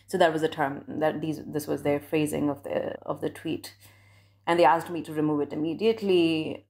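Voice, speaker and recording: A young woman from India, a doctoral scholar, recounting a personal experience in a reflective, measured way with frequent restarts. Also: deep voice, fairly even intonation, gravelly, darkish tone.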